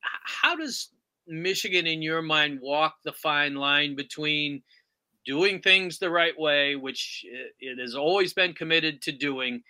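A man's voice: fairly high pitch, takes it slowly, bright, forceful tone, clear, resonant tone. A man hosting a sports talk interview, putting questions and comments to his guest. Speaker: sports podcast interviewer, host.

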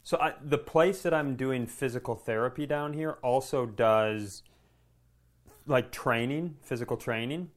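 A man with a mid-pitched voice co-hosts a casual comedy podcast. He tells stories in a rambling way, often cutting himself off and swearing as he goes. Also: a little slow. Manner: rambling, self-interrupting, profane. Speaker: podcast co-host.

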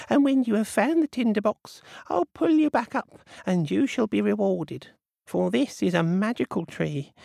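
A man voicing a scheming old woman with a high, wailing, slightly annoying voice, in a storybook reading. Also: creaky, darkish tone, no echo, full character voice.

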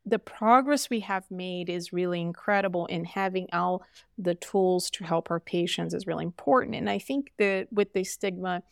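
A middle-aged woman talks through medical issues in an earnest, emphatic way. Her lowish voice has a gravelly fry. The recording is dry and close. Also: moderate pace, no room sound. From Brazil. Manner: earnest and emphatic, frequent fillers. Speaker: physician guest. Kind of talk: conversational interview.

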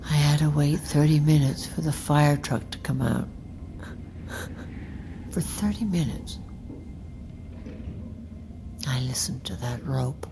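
A woman acting a bereaved, bitter mother in an audio drama, speaking deliberately and often in a hush, with accusation underneath. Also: low-pitched, noisy background. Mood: grieving, hushed. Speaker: actor in a fiction podcast. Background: faint music.

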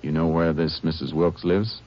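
Deep-voiced, laconic Texas Ranger. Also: male voice, fairly even intonation, normal speed. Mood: businesslike. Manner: laconic, matter-of-fact questioning. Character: steady, probing Texas Ranger lawman, male. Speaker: lead actor and narrator. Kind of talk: 1950s radio western acting and narration. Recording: muffled 1950s radio recording.

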